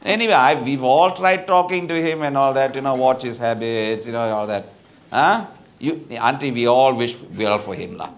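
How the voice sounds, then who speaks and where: An actor with a Malaysian accent playing a chatty, tactless middle-aged lawyer in a live stage comedy, blunt and colloquial. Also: male voice, mid-range voice, moderate pace, close to an everyday voice. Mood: persuasive, breezy. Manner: animated, blunt, colloquial with particles like lah. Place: theatre stage with bar set, live audience. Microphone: lapel mic.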